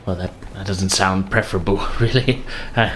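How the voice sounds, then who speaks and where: A man plays a timid, well-mannered hacker in a tabletop game. His voice is fairly low and he speaks hesitantly and discreetly, over faint background noise. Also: normal speed, fairly bright tone. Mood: polite, uneasy. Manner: hesitant, polite, nervously chuckling. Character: polite, timid, discreet tech runner, male.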